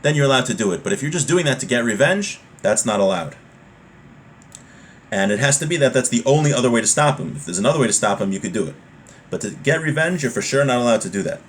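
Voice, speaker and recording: A man with a mid-range voice teaches a religious law class. He moves between reading a text and explaining it in a conversational way, going back over points to make them plain. There is clear background noise in the recording. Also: mid-range voice, lively intonation, fast talker.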